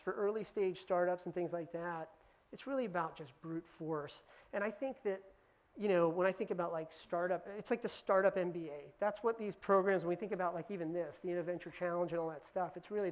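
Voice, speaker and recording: A woman whose first language is Punjabi, giving a loose, joking conference talk to a live audience. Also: on the low side. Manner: chatty, teasing the audience, loose with fillers. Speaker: keynote speaker.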